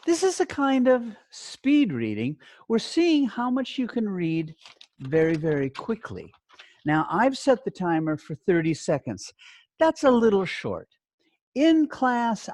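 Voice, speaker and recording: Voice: medium pitch, a little mellow. A language teacher giving step-by-step activity instructions in short, simple sentences with check questions.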